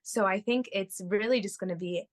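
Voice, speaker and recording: A woman's voice: medium pitch, a little fast. Chatty, upbeat young woman guest. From Florida, US. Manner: chatty and upbeat, laughs often. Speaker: guest, touring musical theater performer. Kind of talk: casual interview chat.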